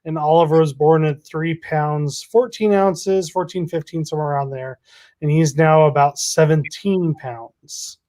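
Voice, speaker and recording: A man's voice: a little high, fairly even intonation, unhurried, dark tone. A man, hosting a casual chat and teasing his co-host. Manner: easygoing, teasing.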